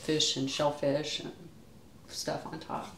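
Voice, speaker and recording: A woman's voice: low voice, little pitch movement, takes it slowly. An older woman chatting casually as a guest on a live stream. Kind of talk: casual chat. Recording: echoey room, faint ambient noise.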